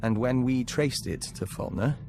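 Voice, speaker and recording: An actor playing a serene, earnest diplomat of an insect hive mind in a video game, speaking formally and solemnly. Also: male voice, mid-range voice, moderate pace, over music, close to an everyday voice.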